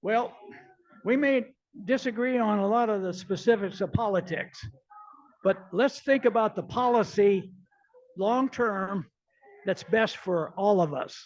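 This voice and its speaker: A man's voice: high-pitched, a little slow, low-quality recording. A man from Nebraska giving a folksy, conversational talk full of "you know" and "I think". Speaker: conference speaker. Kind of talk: informal talk at a symposium.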